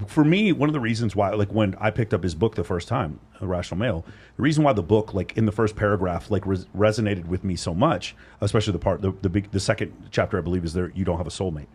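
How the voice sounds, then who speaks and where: A man's voice: deep voice, a little mellow, husky. A man in his thirties hosting a casual panel discussion, talking emphatically and often restarting his sentences. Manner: fast, emphatic, repeats words as he restarts. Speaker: podcast host.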